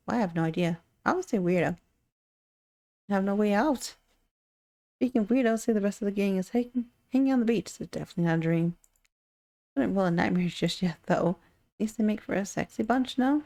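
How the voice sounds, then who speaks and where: Woman as a wry, mellow game narrator. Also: medium pitch, close to an everyday voice. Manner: sardonic, teasing, addresses the player directly. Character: wry, chatty omniscient, unreliable narrator of island game.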